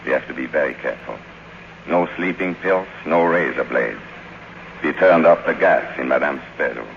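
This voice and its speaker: Very deep, gravelly, solemn butler. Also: male voice, moderate pace. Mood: solemn, guarded. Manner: formal, grave, deferential. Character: solemn, protective, formal manservant to a faded star. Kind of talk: 1950s radio drama acting. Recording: dull, noisy 1950s radio recording.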